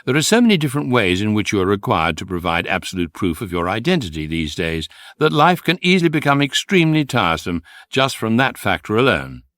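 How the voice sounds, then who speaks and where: Ironic British guidebook narrator for sci-fi radio comedy; low-voiced, very dry close sound. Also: male voice, sing-song, brisk, hardly any pauses, a bit bright, dry recording. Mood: wry. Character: wry electronic guidebook, calm male narrator, drily amused. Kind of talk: scripted comic narration.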